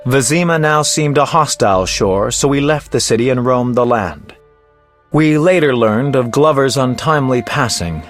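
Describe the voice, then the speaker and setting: Game bard, male actor: medium-pitched, flowery and flippant storyteller, music underneath. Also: expressive, normal speed, lightly acted. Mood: storytelling. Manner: wry, flowery. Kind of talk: video game voice acting.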